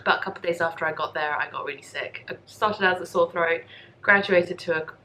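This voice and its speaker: Casual female podcast host, young, lowish voice, congested with a cold, chatty and rambling, some room noise. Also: fast, bright, forceful tone. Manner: rambling, self-deprecating, apologetic. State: congested and coughy from a lingering cold. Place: home craft room.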